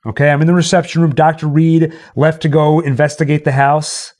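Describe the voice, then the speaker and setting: A man's voice: a little high, flat delivery, brisk, darkish tone. A man hosting a livestream, chatting casually and telling stories in a theatrical, dramatic manner. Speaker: livestream host. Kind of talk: casual storytelling and chat to livestream viewers.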